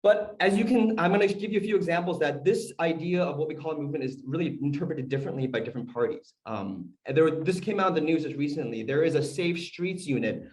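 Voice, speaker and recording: A man's voice: a little high, fast, hardly any pauses, darkish tone, rough recording. Conversational man giving a talk. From United States. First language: Korean. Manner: conversational, asks the audience questions, frequent fillers. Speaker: community organizer. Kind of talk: conference talk on a video call.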